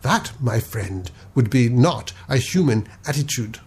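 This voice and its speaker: A man voicing a calm, philosophical sea captain who reasons in a gentle, lofty manner. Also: medium pitch, a little slow, creaky, husky, heavily acted. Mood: lecturing.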